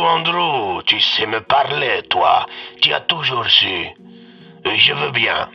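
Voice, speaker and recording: Sadistic, falsely polite male villain, acted by a man, gravelly, theatrical drawl, muffled radio sound. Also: mid-range voice, voice pushed up, exaggerated voice. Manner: slow, theatrical, taunting. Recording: band-limited radio sound with music.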